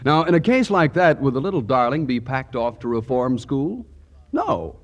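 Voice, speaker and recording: A man working as a 1940s radio announcer, giving a folksy, persuasive sales pitch. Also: big pitch swings, moderate pace, dull audio.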